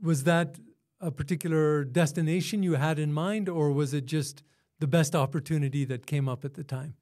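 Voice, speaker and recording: A man hosting an interview podcast, reading a scripted intro and then asking measured questions. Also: a little high, fairly even intonation, darkish tone. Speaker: podcast host.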